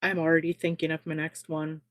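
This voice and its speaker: A woman guest chatting eagerly about crochet during a relaxed livestream. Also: low voice, fairly quick, no room sound, studio quality. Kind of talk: casual crochet chat on a livestream.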